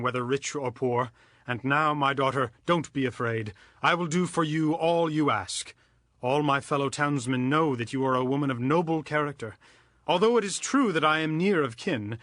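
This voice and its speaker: A man's voice: a little high, a bit bright, dry recording, clean studio sound. An actor playing a kindly, upright male landowner in dramatized scripture, earnest, declamatory and authoritative.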